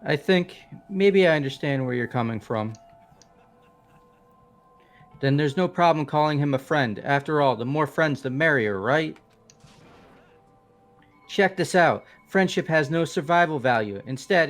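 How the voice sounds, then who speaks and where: A man plays a skeptical teenage boy from a game. The voice is somewhat high, brash and offhand, as if always ready to argue. Soft music runs underneath. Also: expressive, a little slow, pauses often. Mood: cocky. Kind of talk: amateur fan voice acting of a game.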